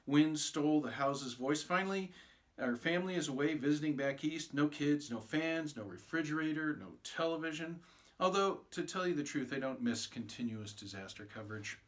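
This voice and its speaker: A man's voice: mid-range voice, a bit bright. A man, an author, informally introducing and narrating his own short story.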